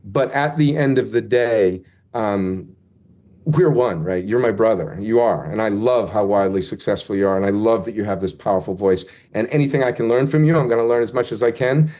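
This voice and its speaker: A man's voice: fairly low pitch, fairly quick, dark tone, dull audio. Rambling, heartfelt man as guest. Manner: rambling, heartfelt, self-deprecating. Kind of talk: casual interview chat.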